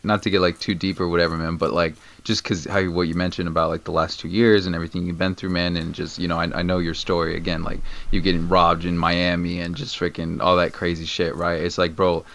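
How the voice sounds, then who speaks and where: A young married man with a very deep voice, chatting in a laid-back, slangy way full of "man" and "bro". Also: flat delivery, quick pace, creaky, hardly any pauses, fairly bright tone, dry recording. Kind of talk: casual podcast chat.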